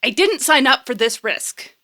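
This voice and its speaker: An actress playing an earnest, anxious young woman in an audio drama, informal and wry, sometimes halting. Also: high voice, big pitch swings, pushed and bright, heavily acted. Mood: upset, pleading.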